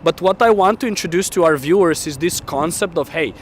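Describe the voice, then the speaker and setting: A man in his thirties hosting a casual outdoor interview, energetic and chatty with lots of fillers, holding a handheld mic. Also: fairly high pitch, a little fast, slight background noise. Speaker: host. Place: outdoor city square in winter. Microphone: handheld mic, camera close.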